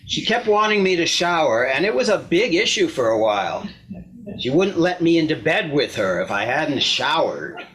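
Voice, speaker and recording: A man acts out a wounded husband looking back on his marriage and justifying himself. His voice sits fairly high and moves expressively through a reflective, measured monologue. There is some background noise with clicks. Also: big pitch swings, fairly bright tone, low-quality recording. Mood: aggrieved. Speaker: actor in a readers theater performance. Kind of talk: dramatic monologue reading.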